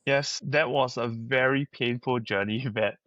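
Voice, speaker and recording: A man's voice: mid-range voice, steady tone, moderate pace, a bit bright, dry recording, dull audio. A middle-aged man with a Singaporean accent, answering as a podcast guest in a conversational, anecdotal way. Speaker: company founder, podcast guest.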